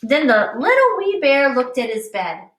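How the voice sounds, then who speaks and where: A woman teacher reading a picture book aloud to young children with deliberate, explanatory expression. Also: high voice, big pitch swings, fairly bright tone, a little hiss or hum. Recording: echoey room, distant mic.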